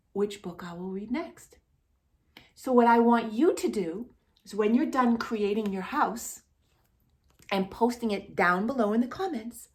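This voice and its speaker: Slow, high-voiced woman talking to young children. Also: a little mellow. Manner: sing-song, encouraging, asks and answers questions as if chatting with young listeners. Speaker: host of a children's storytime channel.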